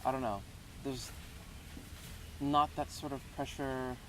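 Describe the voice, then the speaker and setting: A man in his twenties from Texas, answering interview questions in a relaxed, rambling way with lots of hedges. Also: mid-range voice, takes it slowly. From Houston, Texas. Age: 20s. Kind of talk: casual unscripted interview. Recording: noisy background.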